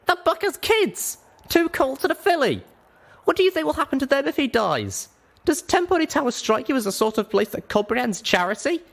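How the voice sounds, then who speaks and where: Male narrator as young mare, very high voice, swooping pitch, stubborn, anxious, earnest, storybook heroine. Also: big pitch swings, fairly quick, creaky, a bit bright, heavily acted. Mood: heated, pleading. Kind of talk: audiobook character voice.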